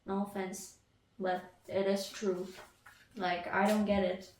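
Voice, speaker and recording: Slow, halting woman vlogging. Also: mid-range voice, level tone, background noise. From Ukraine. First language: Ukrainian. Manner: hesitant, many fillers and restarts. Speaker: artist vlogger. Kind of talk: casual get-to-know-me Q&A. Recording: echoey room, mic at a distance.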